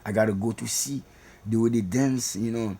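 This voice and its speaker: A man's voice: lowish voice, normal speed, warm tone, husky. A middle-aged man from Haiti with a Haitian accent, reflective and loose with fillers as he talks in a documentary interview. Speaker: rara band member.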